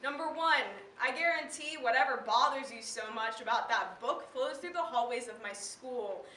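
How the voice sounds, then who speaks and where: A teenage young woman with an American accent delivering slam poetry in an impassioned, emphatic, declamatory way with deliberate pauses. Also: high voice, bright, forceful tone. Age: teen. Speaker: slam poet. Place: live event in a room. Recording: roomy, distant mic, faint background noise.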